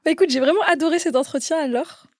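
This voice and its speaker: A woman hosts a podcast with a slightly higher voice, supportive and quick to joke with her guest. The sound is dry and close to the mic. She moves easily between light teasing and careful summing up. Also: darkish tone, no echo. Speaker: podcast host. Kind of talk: casual podcast interview.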